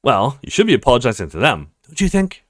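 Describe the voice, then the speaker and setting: A man's voice: medium pitch, wildly swooping pitch, fast talker, no echo, clean studio sound. A man voicing an imperious, curt rich young woman in a read-aloud of a video game. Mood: indignant.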